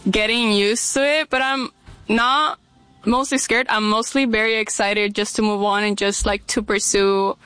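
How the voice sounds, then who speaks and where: A woman's voice: medium pitch, steady tone, a little slow, a bit bright, clear, resonant tone, no room sound, faint music behind, poor audio. A young woman, an international student answering hesitantly, searching for words. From Mexico. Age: young adult.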